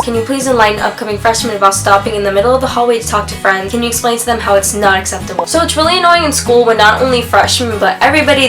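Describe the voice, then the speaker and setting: A raspy-voiced young woman, chatting upbeat with lots of "like" fillers, answering questions in a sit-down vlog at home. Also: on the high side. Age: young adult. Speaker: YouTuber. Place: indoors at home, sitting on a yoga ball.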